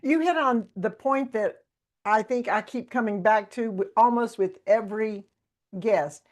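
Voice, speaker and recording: A woman's voice: a little high, unhurried. A woman hosting an interview podcast, thoughtfully affirming her guest's point before asking a question. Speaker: podcast host. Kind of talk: conversational interview.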